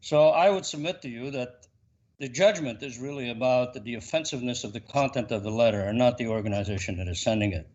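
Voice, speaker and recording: A man, a school board trustee, arguing a point in a formal meeting in a loud, insistent voice over a video call. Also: mid-range voice, quick pace, highs cut off, slight background noise.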